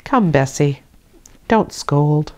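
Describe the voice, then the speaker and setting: A woman's voice: low-pitched, expressive, slow pace, darkish tone. A woman voicing a lonely, sorrowful little girl in a storybook, wary but bold, speaking in a plain, guarded way. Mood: pleading.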